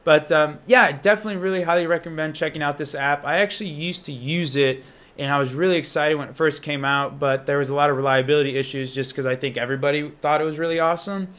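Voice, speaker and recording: A man's voice: on the high side, steady tone, fast, a bit bright. A young American man giving a casual, unscripted app walkthrough in an informal, slangy, enthusiastic manner. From Indiana, United States. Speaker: app reviewer and demonstrator.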